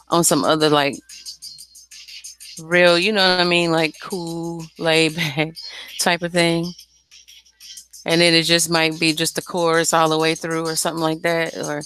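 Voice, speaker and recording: A woman's voice: low voice, fairly bright tone. A woman with a light, airy voice chatting casually and candidly about her music, informal and slangy, swearing freely. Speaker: singer and songwriter. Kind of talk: casual, unscripted chat about making music.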